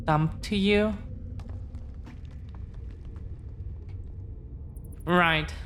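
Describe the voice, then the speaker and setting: A woman's voice: fairly low pitch, slight background noise. A woman playing an affectionate, earnest dragon-blooded girlfriend in an intimate audio roleplay, confessing to the listener in a hesitant, tender way. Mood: nervous, earnest.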